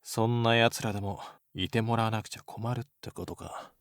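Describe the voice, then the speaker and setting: A man's voice: lowish voice, steady tone, moderate pace, dry recording, lightly acted. Man acting a blunt, cool teenage boy, close and dry. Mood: cool, grumbling. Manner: blunt, brusque, slightly grumbling. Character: gruff, sporty, cool-headed teenage high-school boy. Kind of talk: Japanese anime-style voice drama acting.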